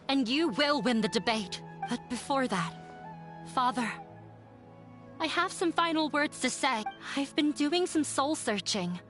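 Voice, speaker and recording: A woman's voice: sits high, fairly bright tone. A woman voicing a determined young woman confronting her father in a game, speaking in a resolute, formal way. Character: determined young female daughter.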